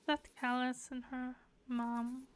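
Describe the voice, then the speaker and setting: A woman streaming a video game, giving casual reactions and musing aloud in a soft-hearted way. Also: high-pitched. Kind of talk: casual live reaction commentary.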